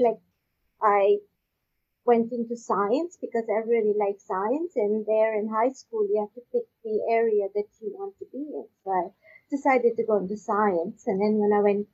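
A woman from Pakistan with a husky voice, answering interview questions conversationally in a halting way, repeating words as she goes. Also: a little high, a little mellow. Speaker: guest, agricultural research scientist. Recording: dull, lo-fi audio.

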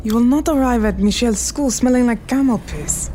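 A woman's voice: on the high side, brisk, mellow, a little hiss or hum, lightly acted. An actress playing a commanding, shrewd woman crime boss in a period radio drama, clipped and imperious in her delivery. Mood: stern, proud.

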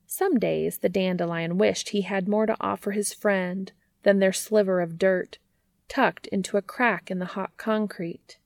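A woman's voice: mid-range voice, steady tone, a little slow, creaky, darkish tone, no echo. A woman reading a scripted story aloud as a storybook narrator. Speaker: fan fiction reader and narrator.

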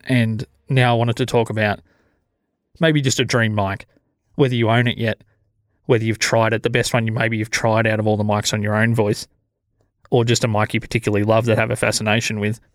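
Low-key Australian man, sibilant, dry sound. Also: brisk, dry recording. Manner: low-energy, relaxed. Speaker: podcast host. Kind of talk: casual chat. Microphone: studio dynamic mic.

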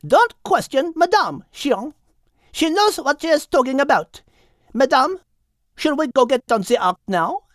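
Bossy high-pitched hen. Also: female voice, big pitch swings, a little slow, no echo, clean studio sound. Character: bossy, haughty French mother-hen character. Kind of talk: audiobook character acting.

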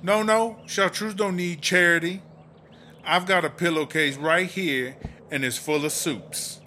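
A man's voice: high voice, fairly even intonation, unhurried, pushed and bright, a little hiss or hum. A man playing a swaggering, sassy female American inmate in a comedy audio drama, drawling and boastful. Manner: drawling, boastful, third-person self-references.

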